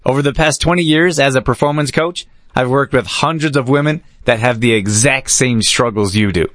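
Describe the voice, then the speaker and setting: A man's voice: medium pitch, normal speed, fairly bright tone, no echo, studio quality. Man reading a podcast intro. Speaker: podcast host.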